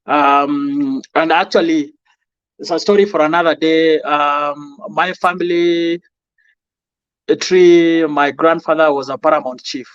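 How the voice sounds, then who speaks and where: A Kenyan man from Nairobi answering interview questions in a calm, humble, soft-spoken way. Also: on the high side, slow, smooth, dry recording, dull audio. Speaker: guest.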